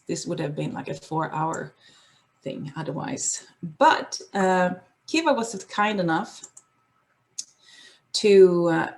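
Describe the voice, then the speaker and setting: A woman from Sweden with a Swedish accent, presenting on a video call in a casual, halting way, with many fillers and restarts. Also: lowish voice, vocal fry. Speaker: guest presenter. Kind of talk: casual online talk with slides.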